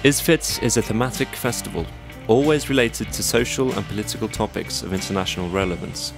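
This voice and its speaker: A man's voice: fairly low pitch, a little fast, music in the background. A man reading scripted documentary narration as a voice-over.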